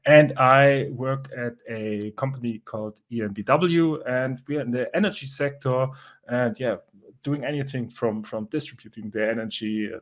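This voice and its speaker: A young man from southern Germany with a German accent, chatting as an interview guest, relaxed and halting with many fillers. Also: on the low side. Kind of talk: casual interview chat.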